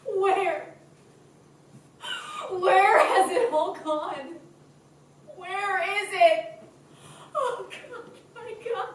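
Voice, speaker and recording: A despairing young woman, tired of dull work, pours out her anguish in a high voice, as an actress plays her on stage. Her slow, unhurried delivery rings through a very echoey hall, picked up from a distance with faint hiss. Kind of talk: stage play acting. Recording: echoey stage recording from far off.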